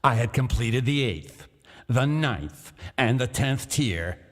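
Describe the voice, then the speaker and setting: A man reading a gothic horror story aloud in a slow, deliberate, theatrical manner, heard in a long echoing hall. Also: mid-range voice, lively intonation. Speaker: reader of a gothic tale. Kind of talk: dramatic literary narration.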